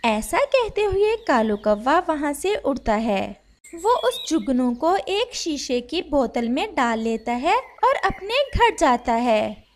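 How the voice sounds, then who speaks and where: A woman's voice: high-pitched, big pitch swings, takes it slowly. A woman narrator reading a scripted children's animal story.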